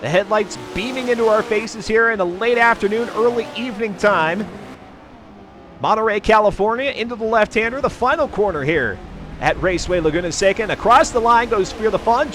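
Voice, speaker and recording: A high-voiced man calls a sim race as the stream's host. His patter is folksy and chatty, with jokey asides. Car engine noise runs behind his voice. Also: a bit bright. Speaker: sim racing livestream commentator and host. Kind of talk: live racing commentary. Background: car engines and race ambience.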